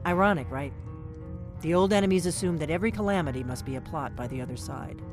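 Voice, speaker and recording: A woman's voice: low-pitched, expressive, fast. An actress playing a terse, world-weary middle-aged female secret agent in a fantasy video game, wry and guarded. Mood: wry, intent. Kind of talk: video game character dialogue. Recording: game music behind the voice.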